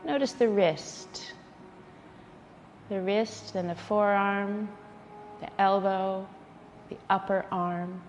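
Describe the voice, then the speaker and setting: A woman leading a guided yoga nidra relaxation, speaking in a soothing, gentle way with unhurried pauses. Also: mid-range voice, fairly even intonation, faint music behind. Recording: long reverberant hall, faint background noise.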